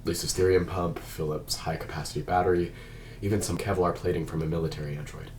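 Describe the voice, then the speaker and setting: A man's voice: low-pitched, fairly even intonation, fast talker, a little mellow. A man reading a script at a cast table read, mixed with casual chat. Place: indoor room at a group table read. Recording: noisy room recording.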